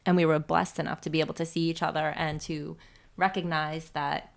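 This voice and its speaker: A woman in her forties hosting a podcast, speaking in a soft, reflective way with many pauses. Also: sits low, little pitch movement, vocal fry. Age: 40s. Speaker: podcast host. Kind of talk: casual faith-based chat.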